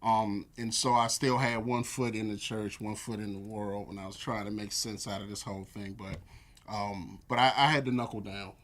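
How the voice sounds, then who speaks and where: An American man in his forties, a church elder, telling relaxed stories with lots of fillers in a casual interview. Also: fairly low pitch, normal speed. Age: 40s. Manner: relaxed storytelling with frequent fillers.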